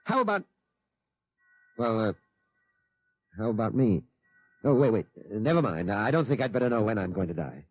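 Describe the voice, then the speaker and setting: A man's voice: fairly low pitch, big pitch swings, normal speed, pauses often, husky, dry recording, soft background music. A man acting in a 1950s radio drama as an anxious, doubtful middle-aged father, hesitant and easily flustered. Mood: worried, uneasy. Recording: muffled, dry 1950s radio recording.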